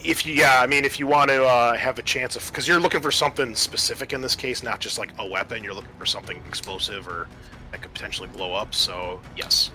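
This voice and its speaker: Male game master, bright forceful voice, halting explanatory delivery, faint music behind. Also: medium pitch. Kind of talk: narrating a tabletop roleplaying game.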